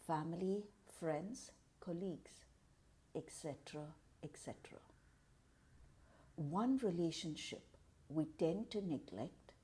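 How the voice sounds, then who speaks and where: Woman doing guided meditation, really slow and soft-toned, kinda low voice, calm with lots of pauses. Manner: calm, measured, deliberate phrasing with pauses.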